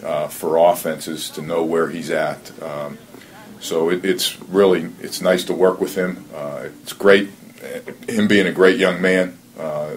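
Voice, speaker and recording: A man working as a football coach, giving earnest, measured interview answers on a conference call and often repeating his phrases. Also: deep voice. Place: conference call, faint noise behind. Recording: noisy conference call audio.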